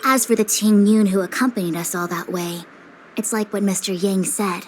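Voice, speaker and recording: A woman's voice: medium pitch, normal speed, a little hiss or hum, lightly acted. An actress voicing a stern, commanding woman leader in a game, speaking formally and with authority. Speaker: game character. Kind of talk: game dialogue voice acting.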